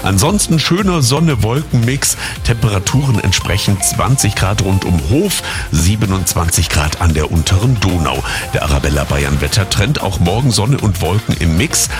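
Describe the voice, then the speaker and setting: A man's voice: sits low, very animated, moderate pace. A man hosting an afternoon radio show, chatting casually and informally with his listeners. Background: music bed.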